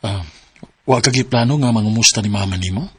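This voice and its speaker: An actor playing a gentle, concerned middle-aged father in a radio drama. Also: fairly low pitch, fast talker, slightly rough.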